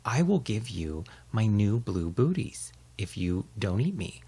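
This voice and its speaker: A man voicing a timid little male wiener dog who pleads slowly in a raised voice. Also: very animated, dark tone, husky, heavily acted. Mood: pleading. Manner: pleading, slow and high.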